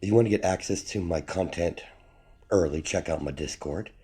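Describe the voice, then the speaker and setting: A man hosting a channel, reading a fan story aloud in a plain, unhurried way, his voice not fully recovered. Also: deep voice, dark tone, husky. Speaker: fanfiction narrator, channel host.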